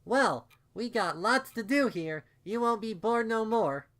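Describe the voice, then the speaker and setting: A tiny, innocent unicorn filly acted out by a man for a storybook reading. The voice is pitched way up and bursts out eagerly. It chatters in cheerful, childlike baby talk. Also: animated, a little slow, fairly bright tone. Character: excitable little unicorn filly, sweet and innocent. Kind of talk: storybook character voice.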